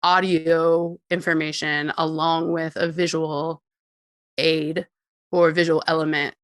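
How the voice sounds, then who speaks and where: A woman with a notably low voice talks as an interview guest. She explains things thoughtfully and conversationally, with casual fillers like "like". The sound is close and dry. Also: level tone, slow, no echo. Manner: thoughtful, articulate, peppered with "like" and small chuckles. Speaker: interview guest, tech company CEO and co-founder.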